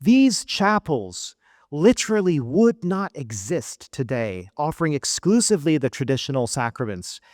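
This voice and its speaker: Earnest man giving a lively keynote speech. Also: fairly high pitch, dry recording, clean studio sound. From United States. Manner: earnest, emphatic delivery with measured pauses. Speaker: keynote speaker. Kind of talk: formal speech at a gala. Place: event hall.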